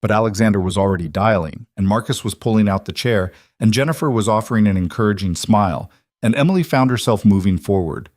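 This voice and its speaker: Man narrating a story, low and dry. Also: quick pace, a little mellow, no echo.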